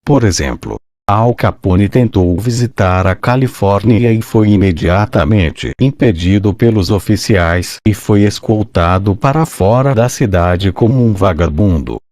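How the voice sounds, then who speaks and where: A man with a Brazilian accent narrates a scripted documentary piece for an online channel. His voice sits on the low side, and the recording is dry, with little room sound around it. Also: unhurried, hardly any pauses, a little mellow, dry recording.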